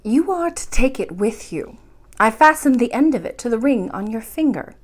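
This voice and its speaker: A woman reader plays a kindly magical grandmother from a storybook. She is serene and patient, gently instructive, with a lively rise and fall in her speech. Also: on the high side, normal speed. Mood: explaining. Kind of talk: storybook character voice in a read-aloud.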